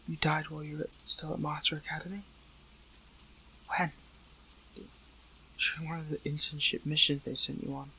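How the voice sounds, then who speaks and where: A man playing an earnest, hurt captive superhero in an audio roleplay, speaking in halting, pleading phrases. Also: fairly high pitch, level tone, sharp tone, slight background noise, rough recording. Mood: shocked, hurt. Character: captive male superhero, earnest, hurt, questioning an old friend. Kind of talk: dramatic audio roleplay acting, one side of a dialogue.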